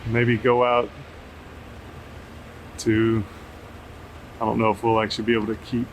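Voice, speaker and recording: A man's voice: fairly low pitch, unhurried. Man casually narrating over outdoor noise. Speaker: drone tester and video host. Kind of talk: casual field-test narration. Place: outdoors under an open shelter at an airfield. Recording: noisy outdoor camera audio, steady ambient hum.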